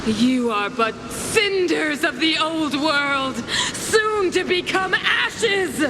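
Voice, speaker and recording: Imperious fanatical villainess in huge reverb. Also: female voice, sits high, animated, slow, background noise, full character voice. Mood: fanatical fury. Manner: grandiose, menacing, theatrical. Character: imperious fanatical woman ruler corrupted by power, villain. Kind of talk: dramatic video game voice acting. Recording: long reverb, loud music underneath.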